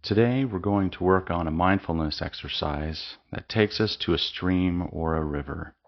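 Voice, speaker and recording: A man leading a guided mindfulness meditation with slow, calm, soothing instructions and long pauses. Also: low voice, dull audio.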